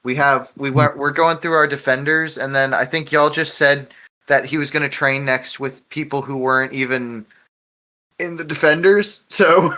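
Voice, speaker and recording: Guy hosting a casual podcast, kinda rambly, chuckles a bit, medium pitched voice. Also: fairly even intonation, normal speed, sharp tone. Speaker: podcast host.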